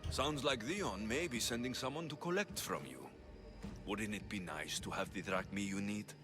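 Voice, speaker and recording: A man's voice: fairly low pitch, normal speed, over music, close to an everyday voice. A man playing a blunt, dry, confident Greek mercenary in a video game cutscene. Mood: firm.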